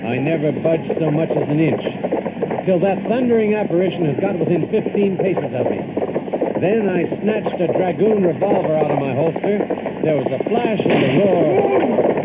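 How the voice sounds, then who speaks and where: An actor playing a boastful, excitable Yankee adventurer who tells his own tale theatrically in an audiobook drama. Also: on the high side, very noisy. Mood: tense, dramatic. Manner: theatrical, animated, with chuckles. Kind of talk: dramatized audiobook first-person narration. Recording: dull lo-fi audio with loud music.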